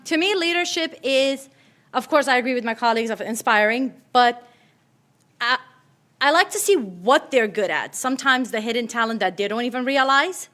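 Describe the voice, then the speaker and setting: A middle-aged woman from Kurdistan, native in Kurdish, gives an informal speech. Her voice is fairly high and bright, with a chatty, nervous excitement. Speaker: school principal introducing herself. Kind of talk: informal short speech at a reception.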